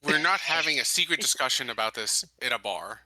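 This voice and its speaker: A man chatting casually as a player in a tabletop roleplaying game, with halting, hesitant phrasing. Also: mid-range voice, lively intonation, over a noisy crowd, rough recording.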